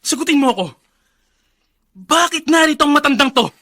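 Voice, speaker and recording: Brash, bright-voiced, hot-tempered young Filipino. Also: male voice, very high-pitched, lively intonation, a little fast, full character voice. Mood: furious, accusing. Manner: brash, accusing, emphatic. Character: hot-tempered, bitter young man, resentful son. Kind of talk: Filipino radio drama acting.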